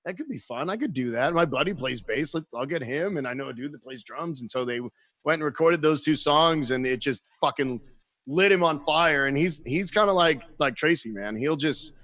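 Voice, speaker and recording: Male guest for a home podcast, a touch higher-pitched, very dry close sound, lively storyteller who swears freely. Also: normal speed, dry recording. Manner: animated storyteller, acts out quotes, swears freely. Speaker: guest musician. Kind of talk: casual chat.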